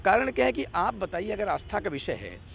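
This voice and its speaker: A man's voice: high voice, very animated, brisk, vocal fry, fairly bright tone, a little hiss or hum. A man giving political commentary as an interview guest, arguing emphatically with repeated phrases and rhetorical questions.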